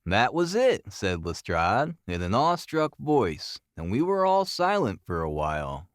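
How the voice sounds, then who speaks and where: A man's voice: low voice, sing-song, measured pace, dry recording, studio quality. A man reading a classic detective novel aloud as a scripted, dramatic audiobook narration.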